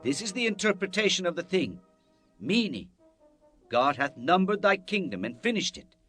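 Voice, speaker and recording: Fearless stern prophet, solemn declamation, sweeping intonation, Bible drama, faint music. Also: male voice, sits high, sing-song, normal speed, fairly bright tone. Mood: grave pronouncement. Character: stern, fearless Hebrew prophet rebuking a king.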